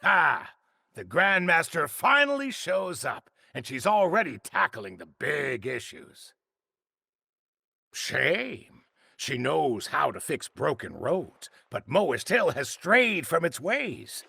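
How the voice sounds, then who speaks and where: An actor playing a bitter, hard-nosed senior craftsman in a video game, speaking in a scornful, deliberate, theatrical way. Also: on the high side, sing-song, creaky, pushed and bright, husky, no room sound, studio quality, exaggerated voice. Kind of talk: dramatic video game voice acting.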